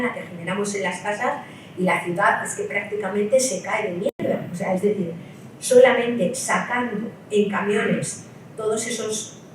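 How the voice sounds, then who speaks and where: A woman's voice: medium pitch, a little hiss or hum. A woman from Spain giving a public lecture at a political meeting. She explains her points step by step and often checks in with the audience through short rhetorical tags. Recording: echoey hall, mic at a distance.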